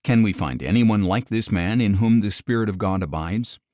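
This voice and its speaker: A man reading scripture aloud as a troubled, commanding king of ancient Egypt, measured and grave. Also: on the low side, a little mellow, dry recording, studio quality, natural voice.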